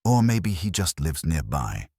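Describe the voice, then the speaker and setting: A man's voice: rumbling low, sing-song, a little slow, a little mellow, slightly rough, no room sound, studio quality, heavily acted. A man voicing a slick, sardonic male suspect with a wry, needling manner in a detective audiobook. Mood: wry defiance.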